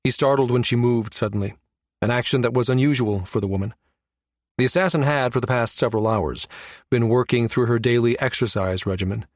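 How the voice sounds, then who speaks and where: A man's voice: medium pitch, fairly quick, no echo. Male narrator, mid-range voice, science fiction audiobook read, very dry close sound.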